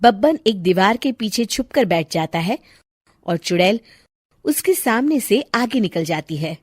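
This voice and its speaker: A woman's voice: mid-range voice, lively intonation, measured pace, breathy edge, no echo, studio quality. A woman giving scripted narration for a cartoon story as the storyteller.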